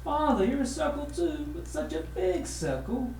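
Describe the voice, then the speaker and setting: A man's voice: very high-pitched, sing-song, moderate pace, darkish tone, echoey room, a little hiss or hum, pitched above the performer's own voice. A man voicing an earnest, determined young boy in a children's storybook, reasoning emphatically aloud. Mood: urgent.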